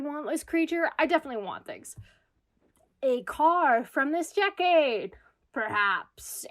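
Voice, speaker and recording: A woman acting an anxious, self-deprecating single New Yorker in a comedic audio drama monologue about herself. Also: high-pitched. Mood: agitated. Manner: self-deprecating, wry, confessional. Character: anxious single New York woman spiraling into existential crisis. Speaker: lead actor in radio comedy.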